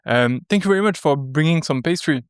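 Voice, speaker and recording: A man's voice: fairly high pitch, lively intonation, a little fast, clean tone, no room sound, clean studio sound. A man with a French accent hosting a podcast interview, friendly and hesitant, laughing easily. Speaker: podcast host. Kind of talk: casual interview talk.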